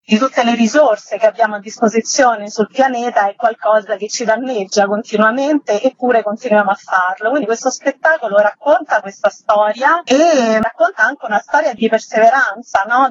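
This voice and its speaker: Earnest high-voiced woman, dry dull sound. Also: slightly rough, dry recording. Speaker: interview guest, theatre director. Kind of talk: radio interview, personal explanation.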